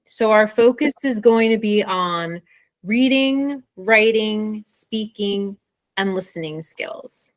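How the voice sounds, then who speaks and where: A woman's voice: mid-range voice, animated, takes it slowly, no echo, poor audio. An American woman co-hosting an informal English lesson in a conversational teaching style. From Pennsylvania, USA.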